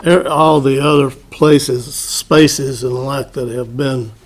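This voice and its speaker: A man chairing a formal board meeting, speaking in a curt, halting way. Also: mid-range voice, steady tone, slight background noise. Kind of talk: formal public meeting procedure. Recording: public meeting room recording.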